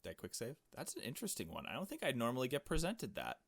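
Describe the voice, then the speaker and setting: A man's voice: medium pitch, wildly swooping pitch, fast talker, noisy background. A man reading a visual novel aloud and commenting casually in a slangy, informal way. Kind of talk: casual gameplay commentary and narration.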